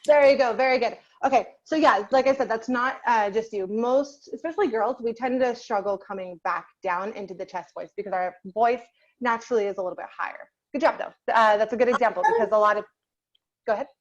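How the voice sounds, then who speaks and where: A fairly high-pitched young woman teaching singing over a video call, warm in her encouragement and chatty, with plenty of "um" and "like". The sound is dull and lo-fi, as if through a laptop connection. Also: fairly quick. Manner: encouraging, chatty, many fillers. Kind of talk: online group singing class.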